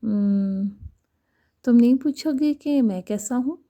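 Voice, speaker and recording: A woman voicing a persistent young male suitor in a romantic story, tentative and gently pleading. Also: fairly high pitch, takes it slowly.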